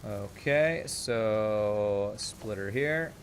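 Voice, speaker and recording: A man's voice: on the low side, very slow, slight background noise. A man with a slight Texas twang, thinking aloud as he casually narrates his gameplay. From California, raised in Texas from age nine. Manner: thinking aloud, mumbling asides. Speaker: gaming channel host.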